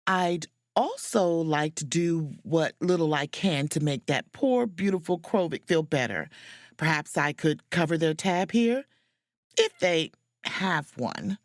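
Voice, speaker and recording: A woman's voice: deep voice, lively intonation, unhurried, no room sound, studio quality. An actress playing an inquisitive woman space officer in a romcom audio drama, speaking expressively. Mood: polite, curious. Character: woman space officer on holiday, keen amateur sleuth. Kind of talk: romcom audio drama dialogue and first-person narration.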